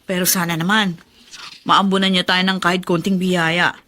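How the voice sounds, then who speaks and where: A woman's voice: fairly low pitch, flat delivery, normal speed, bright, forceful tone. A woman acting a practical, fretful housewife in a Filipino radio drama. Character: practical, nagging housewife fretting over household money. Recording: faint music behind the voice.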